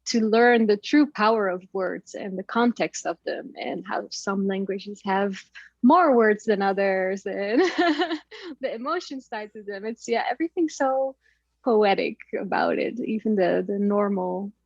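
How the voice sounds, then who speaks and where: A woman's voice: mid-range voice, unhurried, a little mellow, dry recording, dull audio. A woman whose first language is Dutch, talking in a casual interview with many fillers and frequent laughs. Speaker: podcast guest. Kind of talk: casual conversation.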